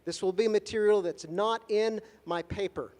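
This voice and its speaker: Man, high voice, formal lecture, measured delivery, dry wit, long hall echo. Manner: measured, deliberate, with gentle humor. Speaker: guest lecturer at a conference. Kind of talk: formal lecture paused for consecutive interpretation.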